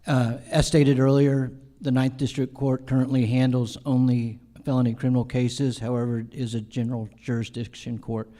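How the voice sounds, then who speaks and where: A man's voice: medium pitch, level tone, moderate pace, hardly any pauses, mellow. An American man moderating a forum, reading out prepared questions to the candidates.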